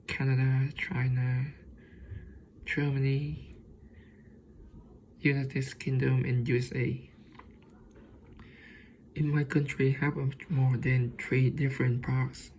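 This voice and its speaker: A man from Vietnam with a Vietnamese accent gives an informal student presentation in halting, hesitant non-native English. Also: mid-range voice, a little mellow, a little hiss or hum, rough recording.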